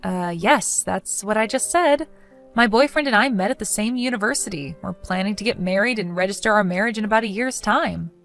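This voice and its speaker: A woman playing a level-headed, quietly fed-up young woman with a dry, matter-of-fact manner in a dramatized story. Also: mid-range voice, brisk, creaky, faint music behind. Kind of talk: dramatized text-message story voice acting.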